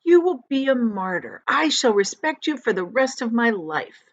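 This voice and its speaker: A woman voicing a brash, bombastic male centipede in a storybook, loudly exhorting. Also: a little high, big pitch swings, moderate pace, no echo, muffled recording, pitched above the performer's own voice. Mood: grandiose. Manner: loud, exhorting.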